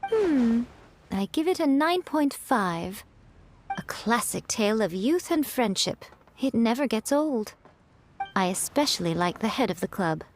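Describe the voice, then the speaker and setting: A woman's voice: a little high, big pitch swings, unhurried, soft background music. A woman playing a sly, elegant fox-spirit shrine lady in a video game, composed and assured. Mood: pleased approval. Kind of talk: video game character acting.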